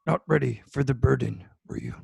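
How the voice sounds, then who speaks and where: A man voicing a tiny, ancient, dying alien sage, wise and weary, in halting inverted phrases during an amateur script read. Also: medium pitch, huge pitch swings, darkish tone, no room sound, exaggerated voice. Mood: grave, weary. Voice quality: creaky, croaking, husky. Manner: inverted syntax, halting, grave. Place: home room, on a video call.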